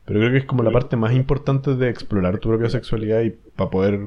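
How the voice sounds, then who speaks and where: A man's voice: medium pitch, fast, dull audio. A young Chilean man hosting a podcast, talking in a thoughtful, rambling way with many fillers. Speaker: podcast host. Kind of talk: casual chat.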